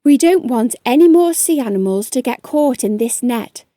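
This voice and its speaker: A woman's voice: a little high, animated, moderate pace, mellow, no room sound, lightly acted. A woman voicing a grateful, eager female octopus in a children's storybook, with an earnest manner. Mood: helpful. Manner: earnest and giggly.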